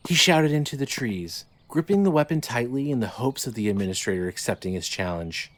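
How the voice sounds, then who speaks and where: A man's voice: medium pitch, lively intonation, a little fast, no room sound. A man narrating scripted fiction in a dramatic storytelling style. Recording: light noise, ambience behind.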